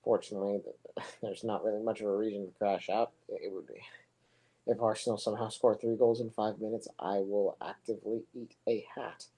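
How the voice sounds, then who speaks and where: Young male soccer play-by-play voice: low, monotone, mellow and husky, relaxed and rambling. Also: fairly quick, rough recording. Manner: relaxed, rambling, chatty asides between plays. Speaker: soccer commentator. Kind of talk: casual live play-by-play commentary.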